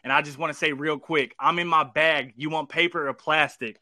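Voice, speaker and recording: A man's voice: a little high, fairly even intonation, brisk, bright, forceful tone, dry recording, clean studio sound. A man hosting a casual podcast interview, rambling and restarting often, with casual swearing. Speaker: podcast host.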